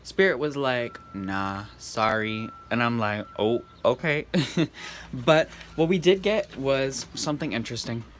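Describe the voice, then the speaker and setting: A man giving a casual tarot reading in a calm, serene, monotone way. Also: medium pitch, measured pace. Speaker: tarot reader. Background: faint background noise.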